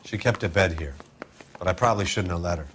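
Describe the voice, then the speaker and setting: A man's voice: sits low, fast talker, a bit bright, slight background noise. An American actor playing a frank, resigned liquor store man in a video game, speaking matter-of-factly. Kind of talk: video game dramatic acting.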